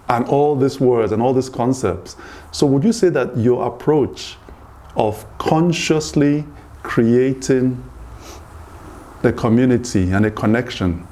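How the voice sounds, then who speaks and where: A man hosting a conversational interview, speaking in a measured, reflective way as he sums up and asks questions. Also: medium pitch, warm tone. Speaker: interviewer, host.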